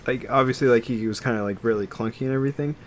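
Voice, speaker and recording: A man's voice: medium pitch, very fast, slight background noise. A man co-hosting a podcast, talking in casual, conversational chat. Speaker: podcast co-host.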